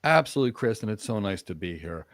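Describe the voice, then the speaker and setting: A man hosting a live-stream career talk, acting out mock job interview answers in a lively, demonstrative way. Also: on the low side, quick pace, dry recording, studio quality. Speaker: host.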